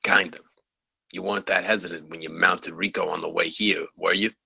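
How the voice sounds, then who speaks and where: An actor playing a lazy, jaded campus security guard in a comedy table read, deadpan and offhand, with a gravelly voice. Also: male voice, deep voice, brisk, no room sound, heavily acted. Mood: teasing. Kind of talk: comedy script read aloud.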